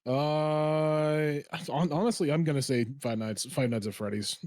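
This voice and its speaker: A man's voice: fairly high pitch, steady tone, unhurried, a little mellow, no echo, studio quality. A man chatting casually as a podcast panelist, wordy and emphatic with plenty of slang.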